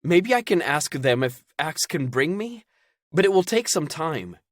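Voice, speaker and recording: A man's voice: a little high, very animated, measured pace, no echo, studio quality, pitched above the performer's own voice. A man voicing a distraught young man, raw and pleading by turns. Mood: shaken.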